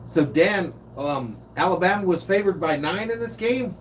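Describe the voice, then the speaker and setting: A man's voice: sits high, animated, moderate pace. A man hosting a live stream, giving casual play-by-play and reading viewer comments aloud. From California. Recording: distant mic with faint noise.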